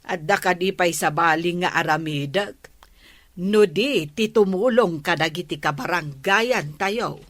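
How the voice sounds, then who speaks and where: A woman's voice: on the low side, a little slow, no room sound. A woman with an Ilocano accent playing a patient, earnest village captain's wife in a radio comedy drama. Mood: patient, explaining.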